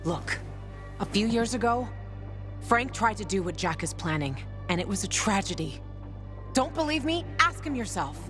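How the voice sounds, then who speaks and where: Woman acting a tough, animated young survivor. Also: mid-range voice, normal speed, music in the background, natural voice. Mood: worried pleading. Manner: blunt, sardonic, urgent. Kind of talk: video game voice acting.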